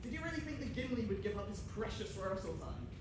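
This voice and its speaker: A man's voice: sits high, big pitch swings, fast, background noise. A young man acting a fretful, accusing hobbit ghost in an amateur stage pantomime. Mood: bitter, dismissive. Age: young adult. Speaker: student stage actor. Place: theatre stage. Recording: very echoey hall, distant mic.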